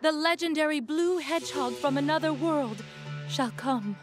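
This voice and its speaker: A gentle, earnest young genie from a video game, played by a woman. She is polite and formal, high in pitch, with music behind her. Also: normal speed, close to an everyday voice. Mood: solemn.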